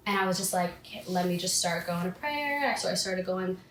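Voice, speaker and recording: A woman's voice: lowish voice, lively intonation, fairly quick. A woman in her twenties, a podcast guest, telling her personal story in a casual, chatty way and acting out things she once said to herself. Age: 20s. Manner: chatty, acts out her own past self-talk. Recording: echoey room with faint background hiss.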